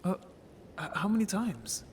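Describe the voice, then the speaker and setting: A man's voice: sits high, very animated, a little slow, slight background noise. A man voicing a naive, curious young merfolk in an audio drama, talking casually and expressively with earnest cheek. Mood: surprised, worried. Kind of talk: scripted audio drama roleplay.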